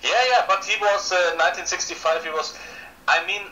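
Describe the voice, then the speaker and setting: Bright-voiced man, echoey room. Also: high-pitched, steady tone, brisk. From Indonesia. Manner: rambling, hesitant with many fillers. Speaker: conversation partner. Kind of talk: casual conversation. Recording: echoey room with faint noise.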